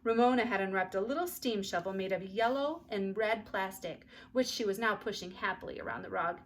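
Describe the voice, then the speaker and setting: A woman narrating a children's story aloud in an expressive storytelling style, with faint noise behind her. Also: mid-range voice, a little fast.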